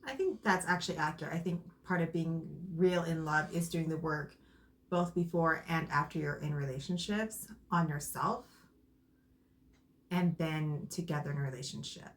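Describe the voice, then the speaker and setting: Low-voiced woman talking softly and thoughtfully, casual chat. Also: moderate pace. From Arizona, USA. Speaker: co-host. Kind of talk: casual couple conversation.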